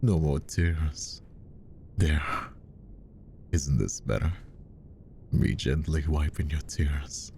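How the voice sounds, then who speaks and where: A man playing a possessive, menacingly tender magician hypnotist in roleplay ASMR, speaking softly and coaxingly, often slipping into whispers. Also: takes it slowly, long pauses, slight background noise, exaggerated voice. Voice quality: soft, very deep, gravelly. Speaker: voice actor.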